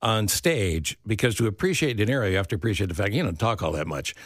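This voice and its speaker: A man's voice: fairly low pitch, lively intonation, quick pace, dry recording, clean studio sound. Upbeat male talk-radio host. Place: radio studio.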